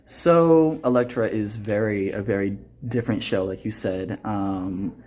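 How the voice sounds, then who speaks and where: Low-voiced teenage boy, reflective interview guest. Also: unhurried, darkish tone. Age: teens, high school senior. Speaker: student actor interviewed as a guest. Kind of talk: earnest conversational interview answers.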